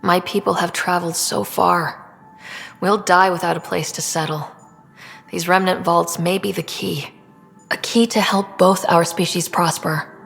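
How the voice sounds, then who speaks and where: An actress playing an earnest, idealistic young human explorer who leads a team in a video game, direct and sincere. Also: female voice, fairly low pitch, fairly even intonation, measured pace, creaky, fairly bright tone, faint music behind. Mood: pleading, sincere. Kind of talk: naturalistic video game acting.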